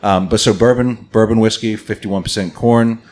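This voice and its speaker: A man from Scotland, raised near London, talking casually as a guest on a podcast, with a relaxed, rambling way of speaking. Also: lowish voice, moderate pace. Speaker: distillery founder, guest.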